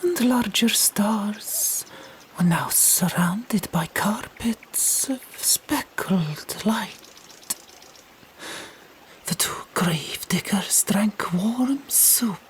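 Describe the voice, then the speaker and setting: Whispering woman reading a story very slowly. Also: mid-range voice, lively intonation. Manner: deliberate, hushed, dramatic phrasing. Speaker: audiobook narrator. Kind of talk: whispered ASMR storytelling, scripted narration.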